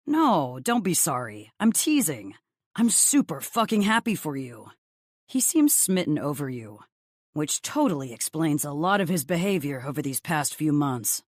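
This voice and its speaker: A woman's voice: deep voice, very animated, a little slow, dry recording, studio quality, exaggerated voice. A woman voicing a gossipy, teasing young male best friend in a romance audiobook, effusive and blunt. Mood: teasing, gushing.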